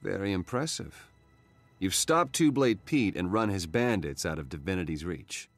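An actor playing a measured, authoritative male guard captain in a video game. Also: deep voice, lively intonation, faint music behind. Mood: approving.

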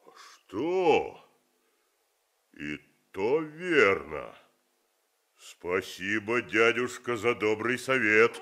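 Bright-voiced, slow-talking folksy giant father. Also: fairly low pitch, expressive, exaggerated voice. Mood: pleased. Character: giant farmer father, coaxing, deferential, practical. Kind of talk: Soviet radio play acting.

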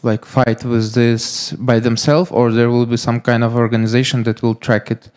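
A man in a conference audience asks a question, halting and searching for words. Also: medium pitch, little pitch movement, darkish tone. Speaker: audience member asking a question.

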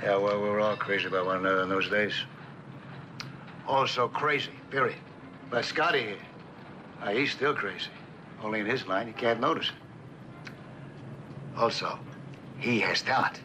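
A man's voice: fairly low pitch, normal speed, long pauses, natural voice. An American actor playing a jaded, sardonic middle-aged businessman in a 1960s television drama. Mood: weary sarcasm. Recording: noisy old TV film soundtrack.

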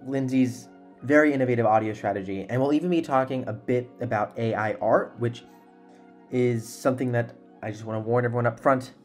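A man's voice: medium pitch, darkish tone. A young man hosting a podcast in a conversational style, speaking earnestly and emphatically into a desktop microphone. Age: young adult. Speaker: podcast host. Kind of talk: conversational podcast introduction and interviewing. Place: small indoor room at home. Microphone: desktop microphone close up.

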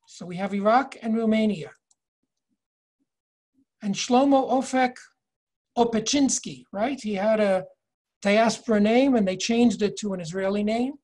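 An older man in his sixties leading a virtual tour lecture from home over a video call, measured and explanatory, reading inscriptions slowly. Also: sits high, darkish tone. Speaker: tour guide and educator. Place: home office, indoors. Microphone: video call webcam.

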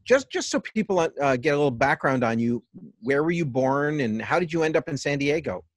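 A middle-aged man hosting a casual interview over a video call from his garden, speaking in a relaxed way with frequent pauses. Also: on the high side, dry recording. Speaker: host, theater artistic director. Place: outdoor garden patio at home.

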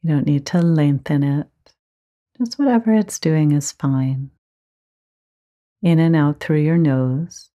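A woman teaching guided meditation, giving gentle, measured instructions with frequent pauses. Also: sits low, dull audio.

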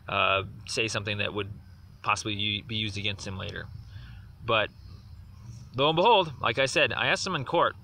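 A man's voice: medium pitch, pushed and bright. A man leaving a long voicemail complaint, insistent and aggrieved, repeating himself as he builds his case. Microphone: phone. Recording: distant voice with faint noise on a phone voicemail.

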